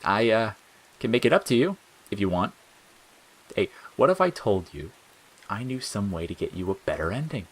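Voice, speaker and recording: A man's voice: fairly low pitch, lively intonation, normal speed, long pauses, breathy edge, pitched above the performer's own voice, low-quality recording. A man performs a malicious little talking flower for an audio story. The voice jumps well above his natural register and swoops around. It is childish, jeering and volatile, built for sneers, cackles and false sweetness. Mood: falsely friendly, wheedling. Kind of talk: dramatic audiobook character acting.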